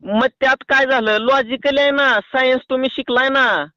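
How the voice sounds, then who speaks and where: A man with a high, sharp voice and a rural Maharashtrian accent talks on a phone line. His delivery is colloquial and argumentative, like a farmer pressing his point. The recording is dry and muffled. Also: steady tone, moderate pace, no room sound. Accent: rural Maharashtrian Marathi. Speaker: farmer calling a doctor. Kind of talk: casual phone conversation. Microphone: phone call.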